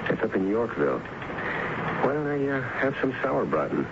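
An actor playing a dogged, matter-of-fact police lieutenant in a radio drama, dry and probing in manner. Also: male voice, mid-range voice, sing-song, a little slow. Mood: businesslike, offhand. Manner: dry, probing, casual hesitations. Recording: muffled, very noisy old radio recording.

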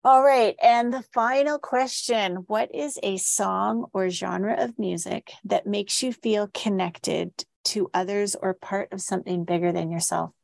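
Gravelly-voiced woman hosting a podcast. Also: mid-range voice, fairly even intonation, a little slow, hardly any pauses, no room sound. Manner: affirming, conversational. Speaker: podcast host. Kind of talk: casual interview talk.

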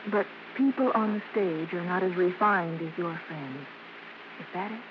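An actress playing a gentle, soothing mother who works on the stage, in vintage radio drama style. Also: lowish voice, moderate pace, noisy background, close to an everyday voice. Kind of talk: 1940s-50s radio drama acting. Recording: dull vintage radio recording with music.

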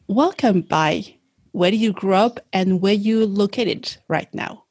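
A woman's voice: mid-range voice, a little slow, over loud music. A woman hosting an interview, reading a scripted introduction, then asking questions as an attentive, encouraging listener. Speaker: podcast host.

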